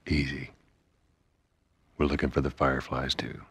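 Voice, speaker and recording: A man playing a gruff, distrustful middle-aged survivor in a video game, terse and guarded. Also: a bass voice, little pitch movement, moderate pace, fairly bright tone, no room sound, exaggerated voice. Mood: low, wary. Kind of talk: naturalistic video game cutscene acting.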